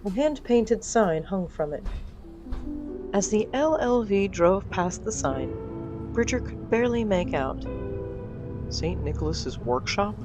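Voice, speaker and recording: A woman's voice: medium pitch, expressive, unhurried, over music. A woman narrating scripted fiction in a dramatic, wry storytelling manner.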